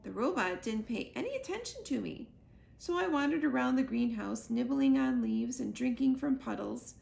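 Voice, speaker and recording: A woman's voice: high-pitched, animated, normal speed, vocal fry, darkish tone, heavily acted. A woman reading a children's book aloud as a young goose earnestly telling his mother about his adventures. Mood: wide-eyed wonder.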